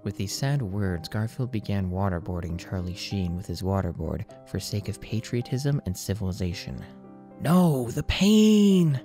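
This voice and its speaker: A man's voice: low-pitched, moderate pace, hardly any pauses, dark tone, soft background music. A man narrating absurd fan fiction as a scripted audiobook reading. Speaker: audiobook reader.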